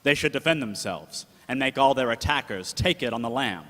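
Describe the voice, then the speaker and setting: A man's voice: mid-range voice, animated, a little fast, fairly bright tone, natural voice. A man playing a gangster's-tale narrator in the style of old 1930s radio drama. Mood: storytelling. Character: Mordecai, gangster-tale narrator, loyal uncle turned second in command. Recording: echoey hall, long reverb.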